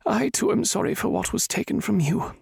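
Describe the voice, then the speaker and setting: A man voicing a gentle, grieving middle-aged mother who speaks softly and tearfully. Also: high-pitched, big pitch swings, fairly quick, creaky, husky, no room sound, clean studio sound, voice pushed up, exaggerated voice. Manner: soft, tearful and pleading.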